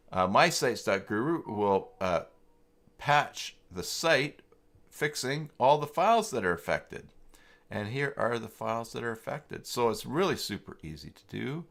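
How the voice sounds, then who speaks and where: A man with a fairly low voice hosts a casual live tutorial on website tools. He speaks informally and thinks aloud, often halting mid-sentence. His delivery is that of someone demonstrating on screen while chatting with those watching. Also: fairly bright tone.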